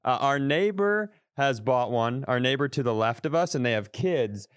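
A man's voice: mid-range voice, animated, normal speed, smooth, no echo, clean studio sound. A man co-hosting a podcast in casual comedic chat, telling exaggerated stories with plenty of swearing. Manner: wry, exaggerated storytelling with profanity. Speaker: podcast co-host.

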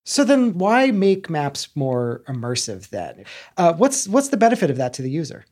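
A man's voice: fairly high pitch, huge pitch swings, moderate pace, darkish tone. A man interviewing a guest, asking curious, probing questions with casual fillers.

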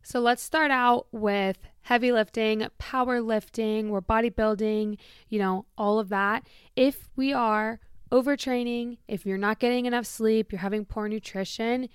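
A young adult woman hosting her podcast in a bubbly, chatty way, her voice a little lower and shaky after a busy event. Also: steady tone, measured pace, smooth, no echo, clean studio sound. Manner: bubbly, chatty, with light laughs. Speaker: podcast host. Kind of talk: casual podcast monologue.

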